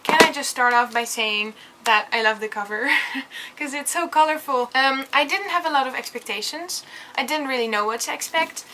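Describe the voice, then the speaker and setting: A woman's voice: high-pitched, normal speed, noisy background. A young woman from the Netherlands with a Dutch accent, chatting enthusiastically in a casual book review vlog with lots of fillers. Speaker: vlogger.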